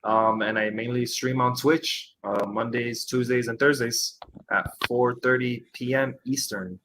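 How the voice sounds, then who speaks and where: A man's voice: mid-range voice, unhurried. Laid-back man over noise. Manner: relaxed, agreeable, short replies. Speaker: guest streamer. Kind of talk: casual chat. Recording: noisy background hiss.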